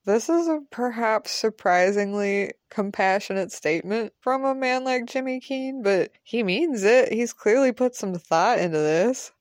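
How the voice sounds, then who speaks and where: A woman's voice: fairly high pitch, expressive, a little slow, few pauses, darkish tone, no echo, clean studio sound. A woman narrating an audio drama in the present tense, informal and wry.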